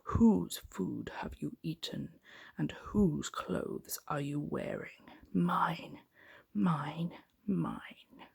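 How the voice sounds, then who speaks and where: A woman voicing a big, fierce, stern male beast in a slow, whispery bedtime storybook reading. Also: low-pitched, a little mellow, exaggerated voice. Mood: stern, accusing. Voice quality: whispery, breathy.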